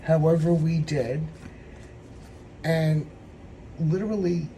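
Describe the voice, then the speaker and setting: A man with a hoarse, troubled throat giving a meandering, reflective spiritual tarot reading. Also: on the high side, fairly even intonation, mellow, a little hiss or hum, poor audio. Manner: meandering, reflective, slow-spoken. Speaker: tarot reader.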